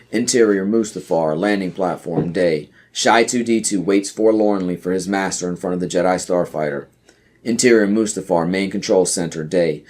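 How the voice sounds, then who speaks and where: A man's voice: deep voice, a little mellow. A man narrating an audio play, reading out screenplay scene headings and stage directions.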